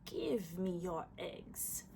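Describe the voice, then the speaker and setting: A woman voicing a menacing snake speaking slowly in a storybook tale. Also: fairly low pitch, very animated, vocal fry, a little mellow, a little hiss or hum, exaggerated voice.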